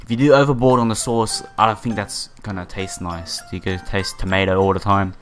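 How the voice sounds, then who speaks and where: A let's player with a very low voice reads a visual novel aloud in a casual way. The reading is broken up by informal, slangy asides and the odd stumble over words. Soft music plays behind the voice. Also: little pitch movement, brisk. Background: background music.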